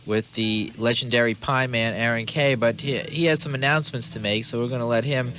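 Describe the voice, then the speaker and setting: A man's voice: medium pitch, fairly even intonation, fairly quick, fairly bright tone, low-quality recording. A man hosting a radio talk show, asking easygoing questions in a casual interview. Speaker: radio host. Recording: 1990s FM radio aircheck.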